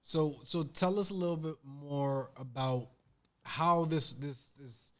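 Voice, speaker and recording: A man's voice: a little high, takes it slowly. A man, hosting and interviewing in a casual, conversational, slangy style. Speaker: podcast host, interviewer. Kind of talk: casual chat.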